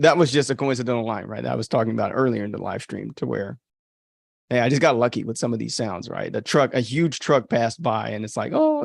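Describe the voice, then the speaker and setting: Creaky-voiced man chatting casually on a livestream. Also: medium pitch, dry recording, studio quality. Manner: informal, self-critical, thinking aloud. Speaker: author and podcast host. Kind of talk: casual livestream commentary on own audio drama.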